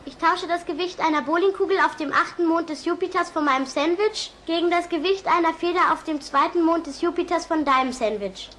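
An actress playing a sly, smug gifted schoolchild in a German cartoon dub. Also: female voice, very high-pitched, a little fast, few pauses, fairly bright tone, a little hiss or hum.

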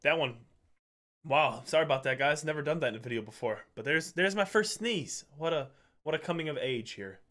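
A man's voice: fairly high pitch, expressive, brisk, fairly bright tone. Stuffy-nosed young man chatting casually. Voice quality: nasal. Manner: laid-back, chatty, addresses viewers as guys. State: stuffy nose from a cold. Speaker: reaction video host. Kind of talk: casual reaction commentary. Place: small home room indoors. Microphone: gaming headset mic.

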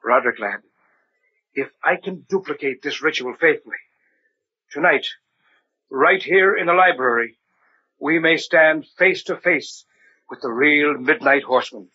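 An actor in an old-time radio drama playing an older, secretive gentleman scholar of the occult, grand and deliberate in manner. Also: fairly high pitch, breathy edge, lo-fi sound, heavily acted. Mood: excited, intent.

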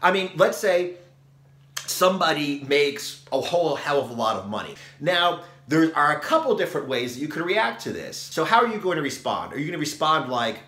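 A man's voice: a little high, very animated, fairly quick, sharp tone, reverberant. A man in his forties giving a blunt, emphatic motivational talk to the camera in a small room. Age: 40s. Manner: emphatic, blunt, repeats "okay?". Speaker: fitness vlogger. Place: small room, plain grey backdrop.

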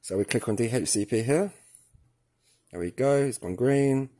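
Husky-voiced man giving a hands-on tutorial. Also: mid-range voice, moderate pace, dark tone. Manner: informal, step-by-step, thinking aloud while working. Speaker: product tutorial presenter. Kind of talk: casual hands-on tutorial narration. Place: indoors at a work table, filming hands and device.

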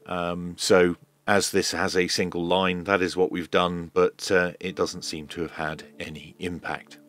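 A man giving a hands-on tech demonstration in a measured, hesitant way, as if thinking aloud. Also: low voice, level tone, a bit bright. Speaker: host of a radio repair video.

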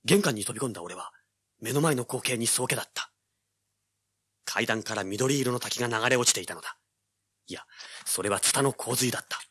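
A man's voice: medium pitch, expressive, brisk, long pauses, fairly bright tone, breathy edge, no echo. An actor playing a cocky, hard-boiled young treasure hunter, telling his story as dramatic audio drama narration. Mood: tense narration. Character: brash, cool-headed young male treasure hunter, action hero. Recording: dramatic music under the voice. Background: dramatic background music.

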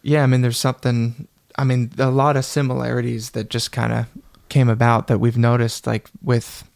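A man's voice: medium pitch, level tone, normal speed, gravelly, darkish tone, no room sound, clean studio sound. A young man, a musician guest on a podcast, reflecting casually and searching for words. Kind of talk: casual interview chat.

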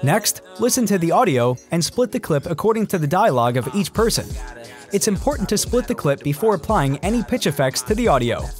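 A man narrates a scripted tutorial in a high-set voice. His intonation rises and falls in a lively way. Music runs underneath the instructions. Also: a little fast. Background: background music.

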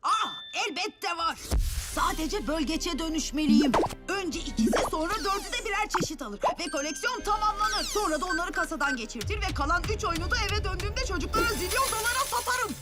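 Brash dramatic cartoon boy, super high voice, loud music behind. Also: lively intonation, a little fast. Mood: scheming. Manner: melodramatic, brash. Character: impatient, scheming, melodramatic ten-year-old boy. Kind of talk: Turkish cartoon dub acting.

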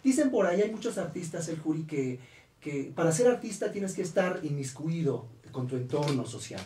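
A middle-aged man hosting a radio interview in a studio booth, talking with many hesitant "eh" pauses. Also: fairly high pitch, lively intonation, warm tone, distant mic, a little hiss or hum. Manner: filler-heavy, many "eh" pauses. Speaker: radio show host. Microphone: studio boom mic, heard from a distance. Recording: roomy studio, voice off-mic.

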